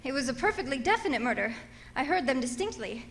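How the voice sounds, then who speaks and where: An actress playing a nervous, demanding wealthy invalid wife in a radio thriller, flustered and stammering, with haughty insistence. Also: female voice, sits high, sing-song, fast talker, a bit bright, heavily acted. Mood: agitated, anxious. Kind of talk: old-time radio thriller acting.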